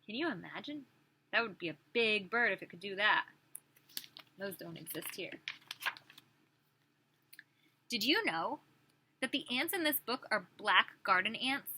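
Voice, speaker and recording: A woman's voice: medium pitch, lively intonation, a little slow, long pauses, bright, forceful tone, slight background noise, low-quality recording. A woman preschool teacher reading a picture book aloud expressively, with questions and chatty asides for small children.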